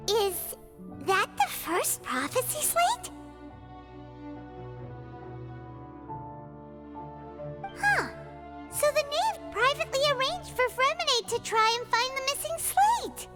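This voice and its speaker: A tiny, childlike fairy companion from a fantasy video game. The voice is extremely high and squeaky, bouncing widely in pitch. She is inquisitive and excitable, chattering over background music. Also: unhurried, exaggerated voice. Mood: curious, surprised. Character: small excitable childlike fairy companion girl, talks in third person. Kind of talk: animated video game voice acting.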